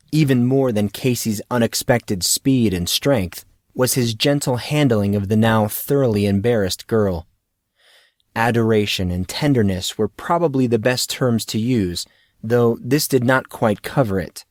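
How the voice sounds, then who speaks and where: A man reading a fantasy audiobook in a measured, dramatic storytelling manner. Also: mid-range voice, no echo, clean studio sound. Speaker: audiobook narrator. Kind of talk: scripted fantasy story narration.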